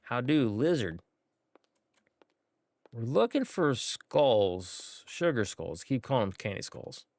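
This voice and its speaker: A man's voice: mid-range voice, big pitch swings, slow, pauses often, darkish tone, no echo. Rambling man streaming a game. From United States. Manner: laid-back and rambling, with many fillers. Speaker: gaming streamer. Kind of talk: casual gameplay commentary.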